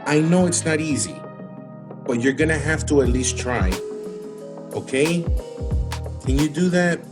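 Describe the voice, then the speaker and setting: A man's voice: a little high, measured pace, close to an everyday voice. An actor in an audio drama playing a recently divorced man, earnest and defensive as he placates his lover. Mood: earnest, placating. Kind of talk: contemporary audio drama acting. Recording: music under the voice.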